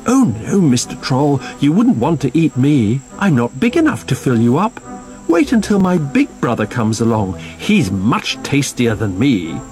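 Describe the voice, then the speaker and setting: A man voicing a nervous middle-sized billy goat in a storybook, pleading softly and persuasively. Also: medium pitch, sing-song, measured pace, music in the background, low-quality recording. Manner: soft, quaking, persuasive pleading.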